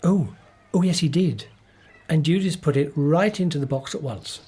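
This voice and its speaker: An actor playing a plain-spoken, easygoing disciple in a radio play, casual and matter-of-fact. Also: male voice, fairly high pitch, normal speed, mellow, breathy edge. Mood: matter-of-fact, questioning.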